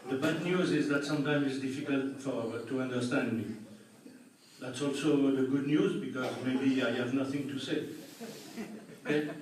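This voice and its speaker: A man's voice: medium pitch, fairly even intonation, normal speed, slight background noise. An older man with a French accent, a public health doctor presenting at a community meeting with dry jokes and plain explanations. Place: large public meeting hall. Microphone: room recording from far off. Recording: echoey hall taped from far off.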